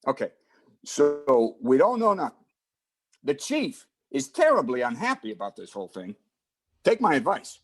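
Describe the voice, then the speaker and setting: A man's voice: medium pitch, wildly swooping pitch, normal speed, pauses often, over music. A man playing an exasperated but fond police detective in an old-time radio drama, talking in hectoring slang. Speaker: supporting actor in community theatre radio recreation.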